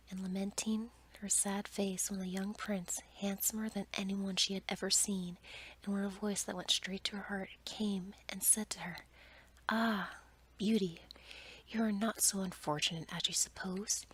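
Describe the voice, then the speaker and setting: A woman storyteller reading a fairy tale as ASMR in a soft, breathy whisper. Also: medium pitch, little pitch movement, moderate pace.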